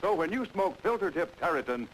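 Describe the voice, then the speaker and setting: A man delivers a 1950s commercial. His pitch runs a bit high and swings widely as he sells emphatically. Faint noise sits on the vintage recording. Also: very animated, brisk, poor audio. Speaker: commercial announcer. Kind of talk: scripted 1950s cigarette ad read.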